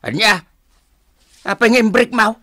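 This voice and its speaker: A man's voice: sits high, expressive, unhurried, bright, forceful tone, dry recording, studio quality, exaggerated voice. A man playing a nosy, exclamatory old father in a radio drama, with an Ilocano accent. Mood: astonished.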